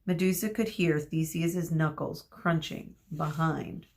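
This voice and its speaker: A woman narrating a children's story aloud like a teacher, expressive, dramatic and deliberate. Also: deep voice. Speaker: teacher reading aloud to students.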